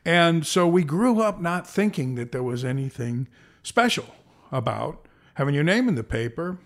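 Interview guest, man in his sixties, gravelly, rambling storyteller. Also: a little high, big pitch swings, normal speed. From American Southwest. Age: 60s. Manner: rambling, self-correcting anecdotes with playful voices. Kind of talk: reflective storytelling.

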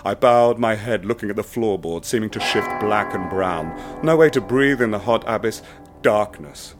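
A man narrating a first-person horror radio play, hushed and intense. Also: fairly low pitch, normal speed, music in the background. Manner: hushed and intense, rising to ecstatic. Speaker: narrator and protagonist.

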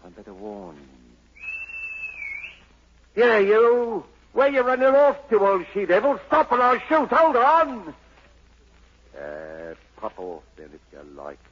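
An actor playing a sly, bullying, bedraggled Cockney rogue in a 1940s radio drama, sneering and slangy. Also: male voice, sits high, huge pitch swings, slow, pauses often, exaggerated voice. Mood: angry shouting. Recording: dull old 1940s radio broadcast.

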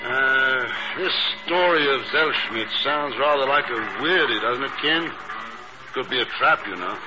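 An actor playing a skeptical, wry officer in a 1950s radio drama. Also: male voice, mid-range voice, expressive, measured pace, music in the background, lightly acted. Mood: wry doubt. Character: dry, skeptical British officer, loyal and wry. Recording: muffled old radio recording, faint noise.

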